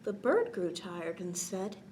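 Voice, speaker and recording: A woman hosting a children's bedtime storytime, reading a picture book gently and expressively with casual asides, often dropping to a near-whisper. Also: fairly low pitch, big pitch swings, takes it slowly, slight background noise.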